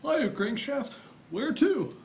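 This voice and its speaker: A performer voicing a casual, squeaky cab driver in a live noir comedy radio play. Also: big pitch swings, slow pace, a little mellow, exaggerated voice. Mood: nonchalant. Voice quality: squeaky put-on voice. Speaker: supporting actor in live radio serial. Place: small theater stage, live audience. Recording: noisy live stage recording with clicks.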